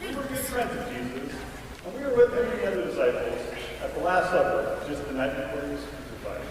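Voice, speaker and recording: Earnest Biblical disciple, acted by a man, swooping expressive delivery, slightly high pitch, church drama storytelling. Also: fairly quick, background noise, close to an everyday voice. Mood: explanatory, earnest. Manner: expressive, explanatory. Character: earnest disciple of Jesus recounting the Last Supper. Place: church, live performance. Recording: reverberant hall.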